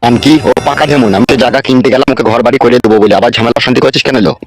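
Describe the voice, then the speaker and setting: A man's voice: medium pitch, fairly even intonation, racing, over loud music, natural voice. A man voicing a bossy father-in-law who blusters and scolds as head of a household in a comedy cartoon. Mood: scolding. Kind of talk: exaggerated cartoon comedy dialogue.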